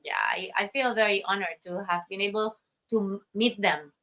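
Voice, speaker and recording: A middle-aged woman from Costa Rica, a guest artist speaking thoughtfully in an interview, with many pauses and restarts. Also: mid-range voice, fairly bright tone. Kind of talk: interview conversation.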